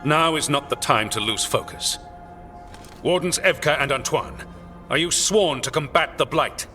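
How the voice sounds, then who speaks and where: An actor playing a stern, imperious military commander in a video game, scornful, clipped and commanding. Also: male voice, a little high, lively intonation, a little slow, sharp tone. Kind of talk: dramatic video game cutscene acting. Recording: reverberant hall, faint music behind.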